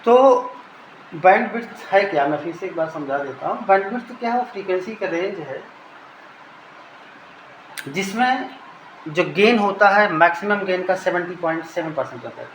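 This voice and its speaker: High-pitched Indian man teaching, echoey room. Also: lively intonation, normal speed, long pauses, a bit bright. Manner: didactic, repeats and rephrases phrases. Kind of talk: informal technical lecture with step-by-step explanation. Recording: echoey room with faint background noise.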